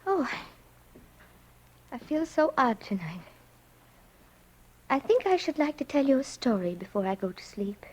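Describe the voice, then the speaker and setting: An actress playing a frail, doting elderly aunt in an old radio thriller, sweet, eerie and plaintive, slipping into breathy whispers. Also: female voice, high voice, sing-song, a little slow, pauses often, darkish tone, a little hiss or hum. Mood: wistful, eerie. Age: older. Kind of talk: 1940s-50s radio thriller acting. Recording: muffled vintage radio recording, music behind.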